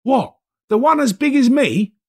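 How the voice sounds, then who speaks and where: A man plays a cheeky young lad in a storybook tale, pitched far up high. He is eager and exclamatory, full of incredulous wonder, with lively swoops in the voice. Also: unhurried, exaggerated voice. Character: eager, cheeky young street boy, wide-eyed with wonder.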